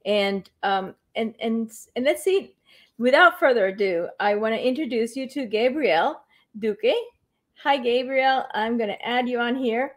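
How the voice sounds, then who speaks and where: A woman's voice: on the high side, unhurried. A woman hosting an online interview, hesitant and full of fillers and restarts. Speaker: host.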